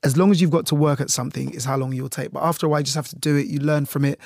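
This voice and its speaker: A man's voice: a little high, steady tone, racing, vocal fry, darkish tone, dry recording, clean studio sound. A man talking casually and candidly in an interview, telling personal anecdotes and often acting out quoted remarks. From Jamaica, later moved to the UK. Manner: candid, chatty, often voices imagined quotes. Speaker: radio journalist being interviewed.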